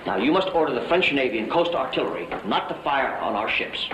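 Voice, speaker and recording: An actor playing a blunt, tough American general with an American accent, talking forcefully. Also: male voice, mid-range voice, sing-song, fairly quick, echoey room, noisy background, close to an everyday voice. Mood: persuasive. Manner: forceful, shouting in anger. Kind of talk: film drama acting.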